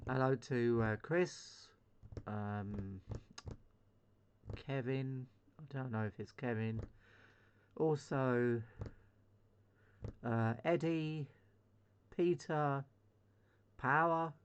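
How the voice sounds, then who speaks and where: A man's voice: mid-range voice, drawn-out words, long pauses, highs cut off, slight background noise. A British man chatting casually as a CB radio hobbyist on his video channel, rambling hesitantly in a self-deprecating way. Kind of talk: casual hobby vlog chat.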